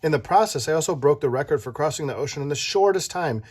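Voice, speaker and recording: An adult reading a children's picture book aloud, playful and animated, stopping to chat with the child listening. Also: medium pitch, fast talker, breathy edge. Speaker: read-aloud storyteller.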